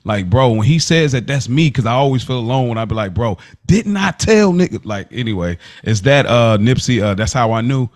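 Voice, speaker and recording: A man's voice: mid-range voice, animated, a little fast, few pauses. A man co-hosting a casual podcast, speaking in a slangy, emphatic, rambling way. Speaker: podcast co-host.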